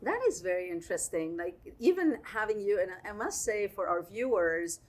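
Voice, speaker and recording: A woman from Lebanon hosting a student podcast, asking curious questions in a lively, restarting way. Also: mid-range voice, moderate pace. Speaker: podcast host and professor. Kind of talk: casual interview.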